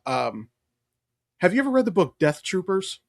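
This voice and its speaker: A man's voice: high-pitched, big pitch swings, normal speed, vocal fry, dry recording. Man chatting emphatically, close dry sound. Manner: emphatic, opinionated fan explaining lore. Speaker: podcast co-host. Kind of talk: casual enthusiastic chat.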